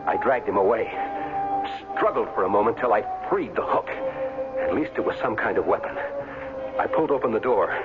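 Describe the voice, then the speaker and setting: Hard-boiled tough guy, animated. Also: on the low side, normal speed. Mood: tense, dramatic. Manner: terse, vivid, hard-boiled storytelling. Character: tough former football player narrating his own fight. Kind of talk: 1970s radio drama acting. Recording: tinny 1970s radio broadcast, loud music behind.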